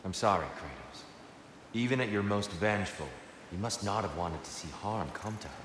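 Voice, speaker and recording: An actor playing a gentle, wise god guiding someone in a video game, kind, measured and earnest. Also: male voice, on the low side, animated, background noise, close to an everyday voice. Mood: compassionate. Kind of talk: video game drama acting. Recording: echoing hall with long reverb.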